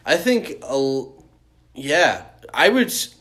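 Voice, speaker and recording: A man co-hosting a podcast in casual chat, animated and freely swearing. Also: on the high side, slow, slight background noise. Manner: profane, animated. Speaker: podcast host.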